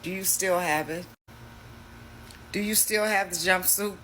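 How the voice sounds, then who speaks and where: A man's voice: high-pitched, steady tone, measured pace, pushed and bright, a little hiss or hum, rough recording. A man hosting a reaction video, talking casually in a mocking, slangy way.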